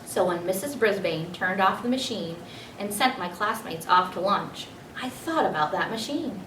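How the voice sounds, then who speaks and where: A woman reading a children's storybook aloud in an expressive narrating style. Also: medium pitch, moderate pace. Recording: echoey room, distant mic, faint noise.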